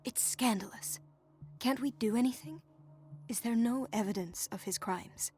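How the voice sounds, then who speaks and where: An actress playing a dutiful, discreet hospital nurse in a video game, deferential and earnest. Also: female voice, on the high side, moderate pace, darkish tone, soft background music, close to an everyday voice. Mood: hushed, anxious. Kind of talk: video game cutscene acting.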